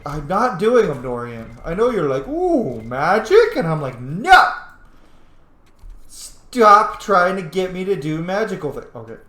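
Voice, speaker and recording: A man doing casual gameplay commentary in an excitable, conversational, sweary way. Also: high-pitched, unhurried, echoey room. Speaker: gaming video host.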